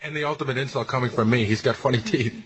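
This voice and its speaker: Fast-talking man in radio banter. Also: mid-range voice, crowd noise.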